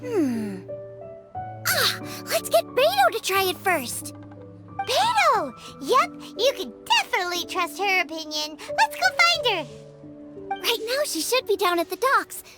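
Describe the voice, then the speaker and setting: A woman's voice: very high-pitched, wildly swooping pitch, measured pace, exaggerated voice. A woman voicing a cheerful, confident and eager teenage girl chef in a video game, chatty and girlish. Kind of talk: animated video game character acting. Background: music.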